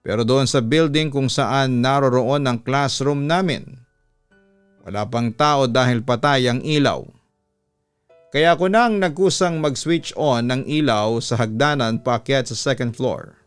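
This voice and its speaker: Male Filipino radio storyteller for scripted narration; slightly low, resonant voice. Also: unhurried, smooth, no room sound. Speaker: radio host reading listener letters. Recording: music behind the voice. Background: background music.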